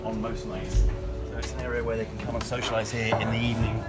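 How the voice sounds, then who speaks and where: A man leading a walking tour through school buildings, talking in a relaxed way with fillers and repeats. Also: on the low side, fast talker, over loud music. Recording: roomy, mic at a distance.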